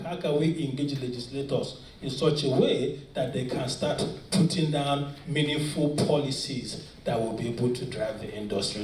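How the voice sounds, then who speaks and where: A man's voice: mid-range voice, a little mellow, slight background noise. A Nigerian man speaking earnestly on a panel, often restarting his sentences. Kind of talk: panel discussion. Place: large conference hall. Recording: echoey conference hall from far off. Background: faint ambience.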